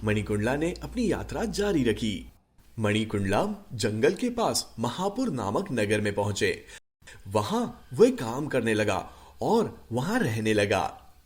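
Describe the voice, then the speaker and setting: A man narrating a scripted animated short story as its storyteller. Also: medium pitch, slow.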